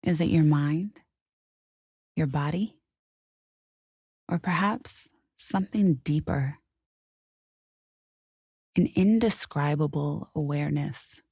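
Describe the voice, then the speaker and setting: Slow, low-voiced woman guiding meditation. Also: creaky, no echo, clean studio sound. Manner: soothing, unhurried, softly spoken with pauses. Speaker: meditation guide. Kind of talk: guided meditation narration.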